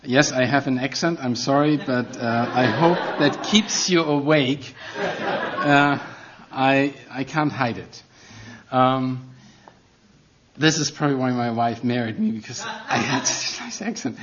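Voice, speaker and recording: A man's voice: mid-range voice, measured pace, fairly bright tone, muffled recording, slight background noise. A middle-aged man with a German accent preaching a sermon as a guest, earnest and self-deprecating, with non-native phrasing.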